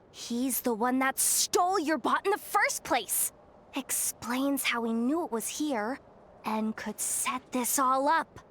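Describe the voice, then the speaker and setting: A woman voicing a small, excitable female game companion with a squeaky, childlike voice and an exclamatory manner. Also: exaggerated voice. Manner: exclamatory, animated. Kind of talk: video game character dialogue.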